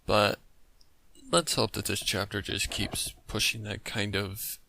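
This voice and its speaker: Low, creaky-voiced man reacting casually. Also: little pitch movement, measured pace, pushed and bright, slight background noise. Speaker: host of a reaction channel. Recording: music playing behind the voice. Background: music.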